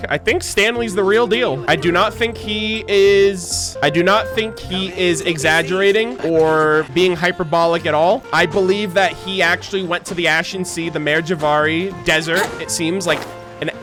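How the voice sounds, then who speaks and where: A woman's voice: fairly low pitch, normal speed. A woman game streamer giving live reaction commentary in a casual, sweary, slangy and hyperbolic manner. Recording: game music behind the voice.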